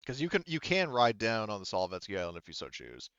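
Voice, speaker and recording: A man with a low, clean voice runs a tabletop roleplaying game. He improvises the narration casually and haltingly, thinking aloud as he goes. The sound is close and dry, a little dull. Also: huge pitch swings, a bit bright, smooth, no echo. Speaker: game master.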